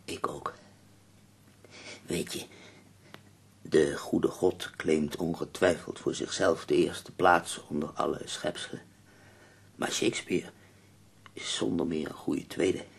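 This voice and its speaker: Ailing poet, very low gravelly voice, husky, tender, wry, formal, radio drama. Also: male voice, fairly even intonation, slow, long pauses, full character voice. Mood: wry, reflective. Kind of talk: Dutch radio play acting.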